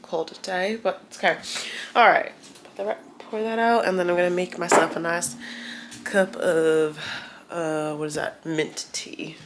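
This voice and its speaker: A woman's voice: lowish voice, fairly even intonation, unhurried, noisy background. Chatty young woman with a stuffy cold. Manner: chatty, rambling, self-interrupting. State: congested, getting over a cold. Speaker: vlogger. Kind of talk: casual get-ready-with-me chat. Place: at home, indoors.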